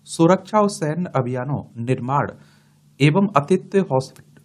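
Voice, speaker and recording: A man's voice: mid-range voice, darkish tone. A man giving an explanatory lecture, reading from study notes and addressing students as he repeats key terms. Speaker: teacher for exam students.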